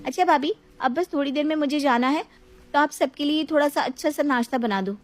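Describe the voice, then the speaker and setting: A woman's voice: high voice, brisk, lightly acted. A woman voicing a headstrong, demanding young married woman in a dramatized story, with a whiny, insistent delivery. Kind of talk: dramatized moral story voice acting.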